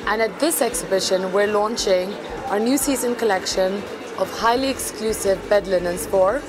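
A woman's voice: medium pitch, normal speed, crowd noise. A woman exhibitor presenting her company in a promotional interview. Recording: loud music over voice.

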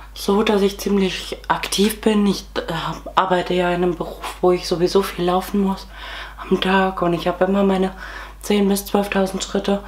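A young woman in her twenties with a cold, hoarse, chatting casually through a makeup tutorial at home with many fillers. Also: medium pitch, a little mellow. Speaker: beauty vlogger. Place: small room at home, indoors.

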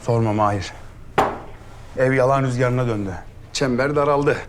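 An actor playing a fretful middle-aged uncle in a TV comedy drama, whiny and pleading. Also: male voice, mid-range voice, normal speed, noisy background, natural voice. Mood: worried. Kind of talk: TV series comedy acting. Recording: music score under dialogue.

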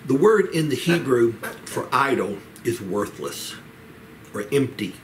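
Measured older man preaching, noisy recording. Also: mid-range voice, sing-song. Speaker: pastor leading a Bible study. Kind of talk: Bible teaching, expository sermon. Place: small home office, indoors. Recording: clear background room noise.

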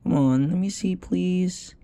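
A man playing an affectionate, teasing young boyfriend in an intimate comfort audio roleplay, speaking in a soft, tender murmur. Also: high-pitched, measured pace. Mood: playful teasing.

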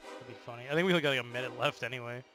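Bright-voiced mocking gamer guy. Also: medium pitch, very fast, soft background music. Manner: profane, mocking, laughs often. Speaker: gaming channel host. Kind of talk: casual gaming banter.

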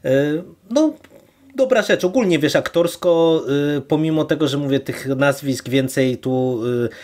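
A man's voice: fairly high pitch, measured pace, a little mellow. A man co-hosting a podcast in casual chat, informal and opinionated. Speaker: podcast co-host. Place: virtual studio, remote podcast recording.

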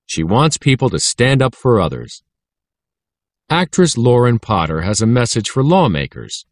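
An American man reading an educational text aloud as a narrator, clearly enunciated and instructive. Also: medium pitch, no room sound, clean studio sound. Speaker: audiobook narrator.

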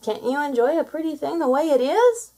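A woman's voice: high voice, very animated, fairly quick, mellow, pitched above the performer's own voice. A woman voicing a small, earnest and clever male seal in a storybook, pleading and exclaiming.

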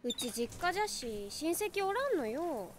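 High, lively Okayama schoolgirl. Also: a little slow, noisy background. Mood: frank, light. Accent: Okayama Japanese. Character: teenage high school girl, easygoing, frank, motherless. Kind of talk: animated film acting.

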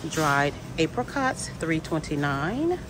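A woman vlogger filming a grocery store walk-through, reading out each item and its price in a slow, deliberate way. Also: deep voice, very animated, background noise. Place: inside a large supermarket.

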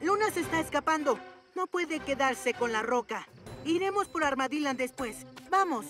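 A woman's voice: sits high, lively intonation, moderate pace, a bit bright, faint music behind. A woman with a Latin American Spanish accent voicing a decisive six-year-old boy superhero in a cartoon dub. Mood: urgent, decisive. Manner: decisive, urgent.

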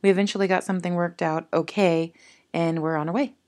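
A woman's voice: on the low side, steady tone, brisk, darkish tone. A woman in her thirties chatting casually in a family travel vlog, upbeat and rambling.

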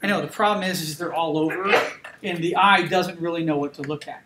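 A man's voice: a little high, steady tone, brisk. Roomy-sounding male drawing instructor. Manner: conversational, repeats "okay?" checks with students. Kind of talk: classroom lecture while drawing. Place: classroom. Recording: roomy classroom with faint noise.

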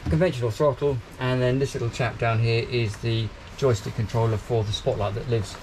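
A man's voice: medium pitch, steady tone, a little fast, background noise. Chatty man touring a boat. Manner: chatty, upbeat, pointing out features as he moves. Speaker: boat reviewer. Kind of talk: walkthrough tour narration. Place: inside a small motor boat cabin, rain outside.

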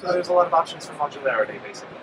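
Quick-talking company rep guy, lots of ums, loud trade show noise behind him. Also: on the high side, expressive. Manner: fast, fluent product explanation with frequent ums. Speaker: company representative. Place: busy indoor convention hall. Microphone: handheld mic held by interviewer. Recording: noisy trade show floor.

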